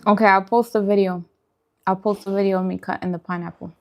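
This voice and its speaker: A woman's voice: mid-range voice, level tone, fast, darkish tone. A woman chatting casually and in a friendly way as she hosts a food livestream, answering viewers' comments.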